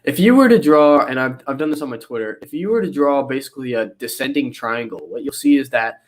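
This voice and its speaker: A man's voice: mid-range voice, expressive, a little fast. A young man with a cold and a nasal voice, explaining charts in a casual interview over a video call, full of fillers and restarts. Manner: rambling with many fillers and restarts. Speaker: guest analyst.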